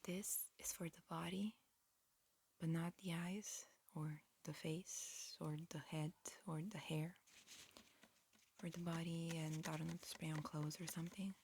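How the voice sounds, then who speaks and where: Woman whispering slowly in ASMR roleplay. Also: steady tone, a little hiss or hum. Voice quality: breathy whisper mixed with soft low speech. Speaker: ASMR performer playing an alien examiner.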